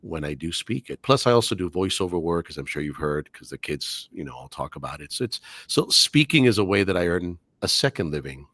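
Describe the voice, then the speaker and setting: Deep-voiced articulate man, careful diction. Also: sing-song, fairly quick, few pauses, a bit bright, breathy edge, no echo, studio quality. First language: Spanish. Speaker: English teacher and guest. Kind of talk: casual podcast interview.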